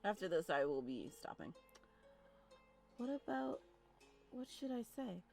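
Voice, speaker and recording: A woman's voice: mid-range voice, big pitch swings, a little slow, gravelly, darkish tone, faint music behind. A woman streamer giving casual, chatty commentary while playing a visual novel.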